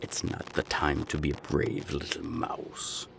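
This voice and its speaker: A man playing a menacing naga gang boss in an audio roleplay, gravelly and deliberate, with cold, taunting threats. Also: sing-song, noisy background, exaggerated voice. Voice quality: very deep, gravelly. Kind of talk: audio roleplay drama acting.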